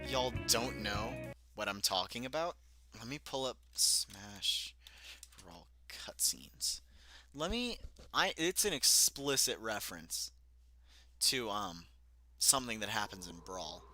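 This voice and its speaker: A man's voice: mid-range voice, big pitch swings, takes it slowly, sharp tone. A young man reads his own old story aloud, chuckling and rambling with self-mocking comments between passages. His voice sits in a middle register, with music playing behind him. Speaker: reader of his own childhood book. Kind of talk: casual read-through with commentary.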